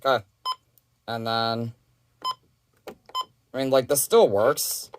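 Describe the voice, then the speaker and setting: A man's voice: mid-range voice, slow, noisy background. A man giving a casual, rambling, offhand hands-on demo of his collection, presenting as a hobby collector. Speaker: weather radio collector presenting.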